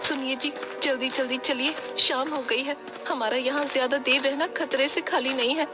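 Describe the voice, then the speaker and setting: A woman's voice: high-pitched, steady tone, moderate pace, music in the background, voice pushed up, natural voice. A woman voicing a distressed village mother in a cartoon story, urgent and tearful as she pleads. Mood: anxious urgency. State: crying.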